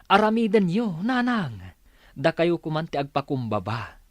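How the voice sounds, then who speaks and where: A man's voice: on the high side, very animated, measured pace, no room sound. An Ilocano-accented man playing a sensible grown son who earnestly admonishes in a radio drama. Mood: earnest, admonishing.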